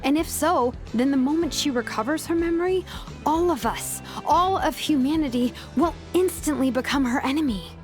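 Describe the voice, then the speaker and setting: A woman playing a cautious, authoritative young strategist in a video game, explaining things seriously and deliberately. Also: high voice, lightly acted. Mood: grave, concerned. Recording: music under the dialogue.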